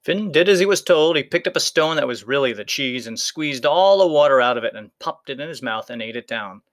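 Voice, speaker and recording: A man's voice: mid-range voice, expressive, brisk. A man reading a children's folktale aloud as scripted storybook narration. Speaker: story reader.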